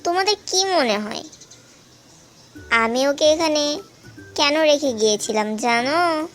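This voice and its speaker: A woman voicing a bold, quick-witted cartoon mother goat who talks in an assertive, scolding way. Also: high-pitched, lively intonation, music in the background. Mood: bold bluffing. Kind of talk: cartoon voice acting.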